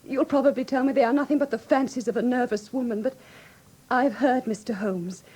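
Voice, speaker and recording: A woman with an English received pronunciation accent playing a frightened, grieving young gentlewoman who speaks formally and earnestly in a classic radio drama. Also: a little high, a little fast, slightly rough. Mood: fearful. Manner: formal, earnest, precise storytelling.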